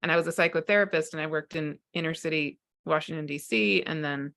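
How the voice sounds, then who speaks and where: Low-voiced woman host. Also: quick pace, gravelly, a bit bright. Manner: open, sharing personal stories with light laughs. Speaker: interview host. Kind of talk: casual personal conversation.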